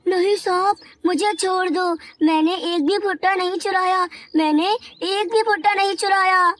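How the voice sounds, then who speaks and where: A tiny male cartoon bug, voiced by a woman, with an extremely high, childlike voice. He sounds naive and eager, made for a bedtime animal tale. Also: steady tone, normal speed, a bit bright. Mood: frightened, pleading. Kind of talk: children's animated story dialogue.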